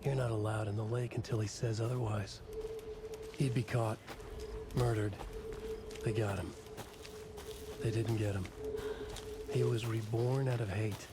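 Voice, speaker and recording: An actor playing a haunted, brooding writer who narrates a horror story gravely in a video game. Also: male voice, lowish voice, flat delivery, unhurried, pauses often, heavy background noise, lightly acted. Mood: ominous. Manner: grave, ominous delivery. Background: loud music.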